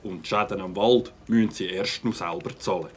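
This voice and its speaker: An actor playing a dutiful, incorruptible village policeman in an audio drama, deliberate and official. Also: sits low, close to an everyday voice. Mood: stern.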